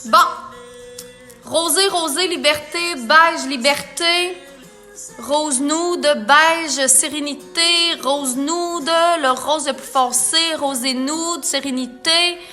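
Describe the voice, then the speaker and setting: A woman's voice: high-pitched, slow pace, pushed and bright, faint music behind. A woman makeup artist with a Quebec French accent, chatting playfully with viewers during a live makeup tutorial. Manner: chatty, playful, reads viewer comments aloud.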